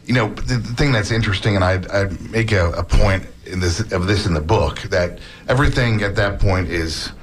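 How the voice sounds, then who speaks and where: A man talking casually as a guest author on an interview show, relaxed and chatty, with many fillers and restarts. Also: on the low side, normal speed. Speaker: book author, academic.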